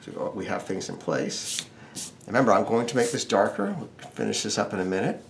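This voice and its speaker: A man's voice: fairly low pitch, a little fast, creaky, a little hiss or hum. A man teaching a casual step-by-step drawing tutorial, with wry, joking asides. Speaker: drawing instructor.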